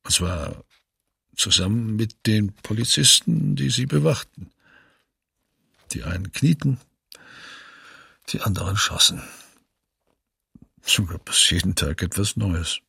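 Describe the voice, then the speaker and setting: Low, very raspy grim male witness. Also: lively intonation, slow, pauses often, sharp tone, no echo, studio quality, exaggerated voice. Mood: grim, factual. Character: male witness grimly describing camp atrocities. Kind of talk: documentary radio drama, witness testimony.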